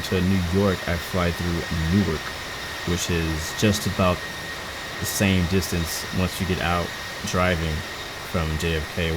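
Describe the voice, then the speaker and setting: A woman from Houston with an extremely low voice chats loosely to her stream, stumbling and restarting her sentences as she goes. A steady whir of noise sits clearly under her voice. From Houston, Texas. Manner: rambling and chatty, frequent restarts and stumbles. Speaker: flight sim livestreamer. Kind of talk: casual live-stream chat while flying.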